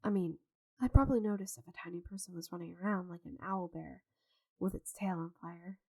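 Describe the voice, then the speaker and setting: A woman playing a friendly, chatty, slightly scatterbrained forest witch in an ASMR roleplay audio drama, with a gentle, theatrical manner. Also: lowish voice, fairly even intonation, a little fast, breathy edge, dry recording. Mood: nervous feigned innocence.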